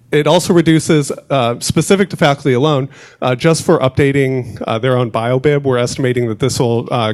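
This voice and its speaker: A man giving a conference presentation, walking through a project with frequent fillers and restarts. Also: medium pitch. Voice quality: loud voice. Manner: storytelling, many fillers and restarts.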